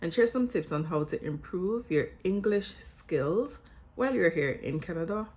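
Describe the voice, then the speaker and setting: A woman's voice: fairly low pitch, lively intonation, normal speed, a little mellow. A young woman with a Jamaican accent giving articulate, instructive advice in a vlog, mixing a prepared script with casual asides. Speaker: vlogger and English teacher.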